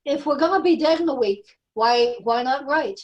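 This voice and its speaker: A woman's voice: high-pitched, moderate pace, dull audio. A woman talking in a casual, conversational style. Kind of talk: casual chat.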